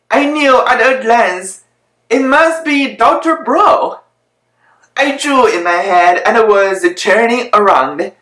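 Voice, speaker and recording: A woman giving a dramatic reading of children's verse from a picture book, pausing between phrases and adding little asides. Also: mid-range voice, a bit bright. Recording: echoey room.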